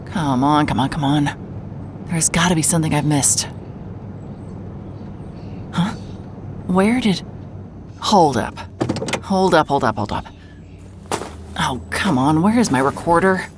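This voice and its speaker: A woman's voice: sits low, animated, slow pace, long pauses, noisy background. A woman acting as a hard-hearted, wry and nervy private investigator in a cinematic audio drama, muttering to herself as she works. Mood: flustered. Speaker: lead actor and narrator of an audio drama. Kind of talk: cinematic audio drama, first-person noir narration and case dictation.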